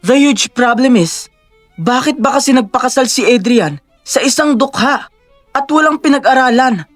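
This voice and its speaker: A woman's voice: high-pitched, expressive, normal speed, no room sound, soft background music. A woman voicing a sneering, crude rich socialite who talks with taunting contempt.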